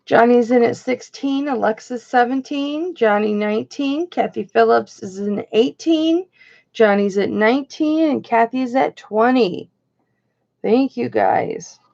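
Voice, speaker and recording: Slow, gravelly-voiced woman auction host. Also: fairly high pitch, a little mellow, dull audio. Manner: chatty, greets viewers by name. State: slightly hoarse. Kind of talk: casual live-stream auctioneering.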